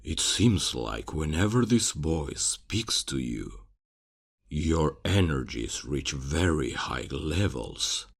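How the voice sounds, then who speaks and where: Man acting a very deep, gravelly old archmage. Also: heavily acted. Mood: concerned, curious. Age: older. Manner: gentle, solemn, measured mentoring. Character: kindly old male archmage, proud, fatherly mentor. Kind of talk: fantasy ASMR roleplay acting.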